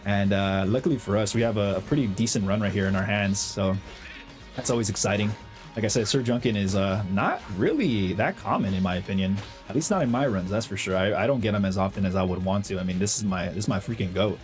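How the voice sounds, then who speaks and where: A young man with a slight cold, chatting excitedly over his own gameplay as the host of a gaming channel, full of slang and fillers. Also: on the low side, fast talker, faint music behind. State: has a cold, slightly hoarse. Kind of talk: casual gameplay commentary.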